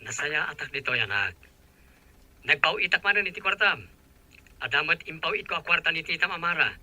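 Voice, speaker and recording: A man's voice: fairly high pitch, expressive, moderate pace, bright, forceful tone. An actor playing a caring middle-aged father in a radio drama, talking to his child. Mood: affectionate. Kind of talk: Filipino radio drama acting.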